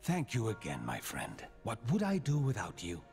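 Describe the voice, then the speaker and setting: A man's voice: lowish voice, wildly swooping pitch, normal speed, vocal fry, a little mellow, faint music behind, exaggerated voice. An actor playing an earnest, forgetful male sorcerer in a video game cutscene, with theatrical, urgent spellcasting delivery.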